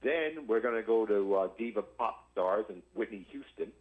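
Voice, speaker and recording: A man calling in to a radio show, chatting casually in a halting, deliberate way. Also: on the low side, animated, phone-quality sound. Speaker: radio call-in listener. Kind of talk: casual call-in chat.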